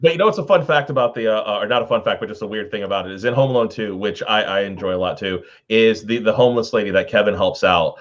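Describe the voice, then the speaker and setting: A man's voice: lowish voice, fast talker, hardly any pauses. A man chatting casually as a panelist, rambling along in loose, filler-laden phrases, on dull online-call audio. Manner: rambling, full of 'like' and 'you know'.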